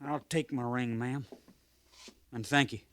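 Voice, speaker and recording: An actor playing an excitable young American cavalry trooper with rustic country speech in a 1950s radio western. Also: male voice, mid-range voice, a little slow. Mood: puzzled, respectful. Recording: vintage 1950s radio recording.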